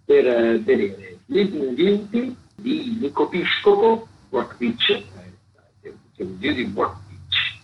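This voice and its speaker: A man's voice: on the high side, sing-song, slow, creaky, slight background noise. Hesitant male radio host, dull lo-fi sound. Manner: hesitant, searching for words with repetitions. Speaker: radio host presenting a theatre events roundup. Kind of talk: semi-improvised radio arts listings commentary. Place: radio studio.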